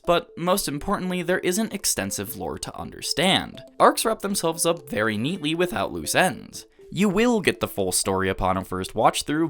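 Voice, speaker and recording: A man delivering scripted, analytical video essay narration in a measured, emphatic, rhetorical way. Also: fairly high pitch, a bit bright. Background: background music.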